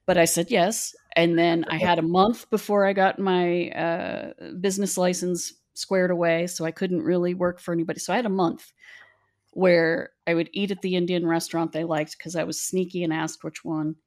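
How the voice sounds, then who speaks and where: A middle-aged woman from West Virginia speaks with a somewhat low voice. She tells stories in a chatty, meandering, self-deprecating way, like a relaxed podcast conversation. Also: moderate pace. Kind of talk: casual storytelling chat.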